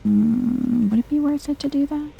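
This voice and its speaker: A soft-spoken woman giving hushed, chill ASMR-style video game commentary, thinking aloud to herself. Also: sits high, moderate pace, gravelly, husky, highs cut off.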